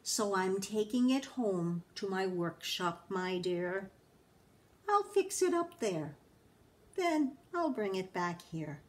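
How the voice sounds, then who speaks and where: A woman voicing a sly, grumpy male Grinch creature from a storybook, with a slick, drawn-out delivery. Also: medium pitch, animated, a little mellow. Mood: sly, fake sweetness.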